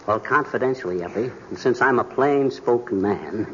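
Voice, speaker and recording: An actor playing a boastful, bumbling husband in a 1940s radio comedy, blustery and wisecracking. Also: male voice, medium pitch, normal speed, close to an everyday voice. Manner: blustery, wisecracking, shouting excitedly. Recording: muffled, noisy 1940s radio broadcast.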